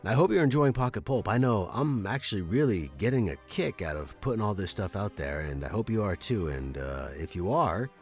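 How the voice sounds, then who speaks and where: A man's voice: lowish voice, lively intonation, brisk, hardly any pauses, soft background music. A man hosting a podcast, giving an informal, enthusiastic introduction. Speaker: podcast host.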